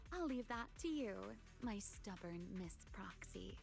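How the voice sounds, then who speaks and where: A woman playing a composed, enigmatic fighter and mentor in an anime-style game, unhurried, playful and slightly formal. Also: medium pitch, lively intonation, creaky, music in the background. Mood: teasing.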